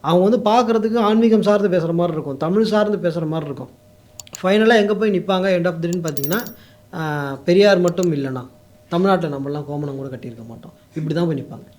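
A man's voice: sits high, quick pace, darkish tone. A man with a Tamil Nadu accent giving opinionated interview answers as a guest commentator, mixing in English words. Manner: code-mixes English words into speech.

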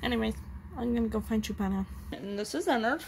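Casual amateur vlogger, female, high-pitched with gravelly fry, halting show-and-tell delivery. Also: noisy background. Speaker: amateur video creator and character voicer. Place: room at home. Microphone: handheld phone.

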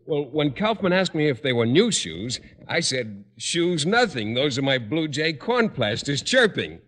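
An actor playing an earnest, shy, naive young schoolteacher in old radio comedy, polite and a little hesitant. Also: male voice, a little high, very animated, measured pace, fairly bright tone. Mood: eager. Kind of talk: 1950s radio comedy acting. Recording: hissy old radio recording, long reverb.

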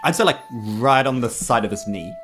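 A man's voice: mid-range voice, lively intonation, a little fast, a bit bright, soft background music. Kiwi man casually playing a tabletop game. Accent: New Zealand. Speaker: player in a tabletop game podcast. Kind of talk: casual in-character game dialogue.

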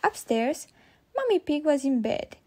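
A woman reading picture books aloud in an expressive storyteller's style for young children. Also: high-pitched, measured pace.

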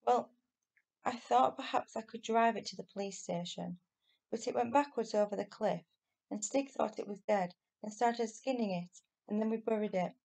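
A woman voicing an excitable, earnest and insistent young boy in a children's storybook reading. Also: on the high side, moderate pace, gravelly. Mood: earnest, excited.

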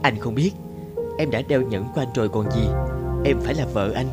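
Casting: a man acting a devoted young cartoon sweetheart, earnest and protective, mid-range pitch, loud music underneath. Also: brisk, lightly acted. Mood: tender, concerned. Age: young adult. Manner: earnest, emphatic, tender. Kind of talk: animated drama voice acting.

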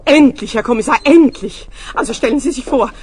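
Lady playing flustered factory director, exclaims everything, kids radio play, loud noise behind. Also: high voice, fairly quick, close to an everyday voice. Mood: indignant, relieved. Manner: effusive, exclaiming.

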